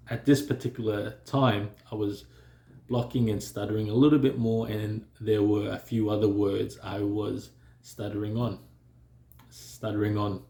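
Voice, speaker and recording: A man who stutters, talking calmly and reflectively to camera, with pauses and occasional blocks on words. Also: lowish voice, vocal fry, reverberant. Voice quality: stutters and blocks on some sounds. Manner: calm, measured, with pauses and fillers. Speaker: vlogger sharing stuttering experience. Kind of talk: reflective personal talk to camera.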